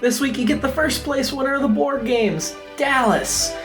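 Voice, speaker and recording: A woman's voice: high voice, moderate pace. A woman hosting a podcast, reading an introduction and promo. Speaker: podcast host. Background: guitar music.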